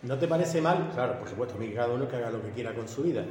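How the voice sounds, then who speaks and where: A man's voice: mid-range voice, animated, very fast, darkish tone. A man in his fifties, a police officer who gives talks in schools, pressing a young guest with pointed, leading questions in a debate. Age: 50s. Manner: challenging, leading questions, emphatic. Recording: echoey hall with faint noise.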